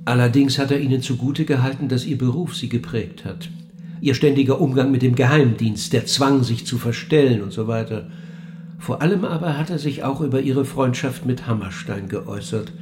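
An actor playing a cool, probing interviewer of the dead in a German radio play, speaking in a measured, dry and pointed way. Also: male voice, mid-range voice, darkish tone, slight background noise, natural voice. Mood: measured reciting.

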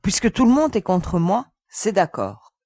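A woman playing a cautious, protective young spaceship crew member in an audio sci-fi drama, speaking in a measured, firm way. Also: mid-range voice, sing-song, warm tone, no room sound, clean studio sound. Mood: resigned, wry.

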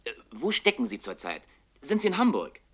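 An actor playing an eager, wheedling newspaper reporter, probing and stalling with emphasis, in a German radio crime drama. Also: male voice, mid-range voice, wildly swooping pitch, a little fast, dull audio. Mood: stalling, coaxing.

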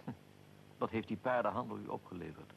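A weary imperial archduke with a heavily creaking, gravelly voice. He speaks in formal, measured sentences with dignified restraint, as in a radio play, over a faint hiss and rumble. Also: rough recording. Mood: curt, sombre. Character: Austrian archduke, aristocratic, weary, recounting his own assassination. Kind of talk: radio drama acting.

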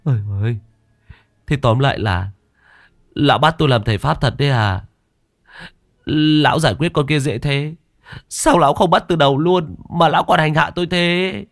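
A man voicing a panicky young village man who shouts and whines in protest. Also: fairly high pitch, normal speed, long pauses, no room sound, studio quality, pitched above the performer's own voice, close to an everyday voice. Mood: whiny complaint.

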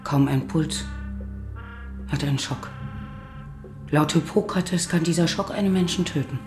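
An actress playing a young monk and healer, learned, earnest and resolute, in a radio drama. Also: female voice, sits low, a little slow, music in the background. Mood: concerned, focused. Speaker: actor.

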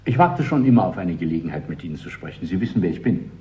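An actor playing a courteous, guarded male party official in a radio drama, speaking politely and deliberately with an insinuating undertone. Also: sits low, sing-song, dark tone, dull audio.

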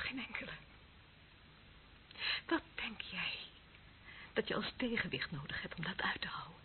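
An actress playing an embittered, lonely young policewoman in a radio drama, bitter and taunting, often dropping to a whisper. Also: lowish voice. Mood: scornful, anguished. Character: embittered, lonely young policewoman, former death squad member. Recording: muffled phone-like band, clearly noisy old recording.

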